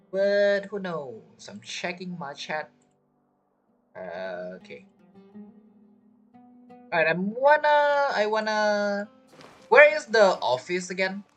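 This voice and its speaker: Rambling game streamer, lively pitch. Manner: rambling, thinking aloud. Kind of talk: casual live gameplay commentary.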